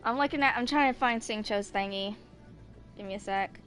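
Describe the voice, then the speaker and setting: A woman in her twenties giving casual, rambling game commentary, thinking aloud in a halting, distracted way. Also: fairly high pitch, a bit bright, faint music behind. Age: 20s. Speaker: gaming video creator.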